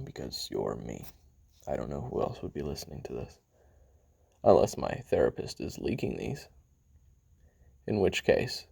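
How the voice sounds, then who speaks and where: A man playing a brooding, hot-tempered assassin in an audio roleplay, recounting his memoirs in a halting, casual, profane confessional with a gravelly, husky voice. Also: extremely low pitch, warm tone, exaggerated voice. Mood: wry, menacing. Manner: halting, casual, profane, with sighs and trailing pauses.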